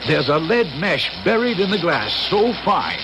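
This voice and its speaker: A man's voice: high voice, big pitch swings, normal speed, background noise. An American actor playing an older, absent-minded professor in an old-time radio drama, stammering and repeating words as he exclaims. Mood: absorbed.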